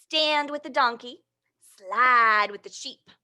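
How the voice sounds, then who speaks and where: Woman, high voice, children's storytime host, playful, encouraging, animated read-aloud delivery. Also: slow pace. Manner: playful, encouraging, checks in with listeners. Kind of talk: animated picture-book reading and action songs for young children.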